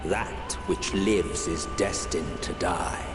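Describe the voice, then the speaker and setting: A grave male sage from a fantasy game delivers cryptic wisdom in a slow, portentous manner. His lowish voice rings with roomy reverb beneath loud, swelling music. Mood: solemn, portentous. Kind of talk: theatrical scripted game monologue.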